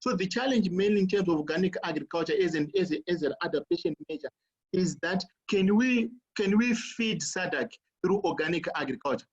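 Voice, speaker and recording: Professor on a panel, from Zimbabwe: high, breathy voice, halting delivery, dull lo-fi sound. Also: male voice. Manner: halting, repeats words as he searches for them. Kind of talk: panel discussion talk on video call.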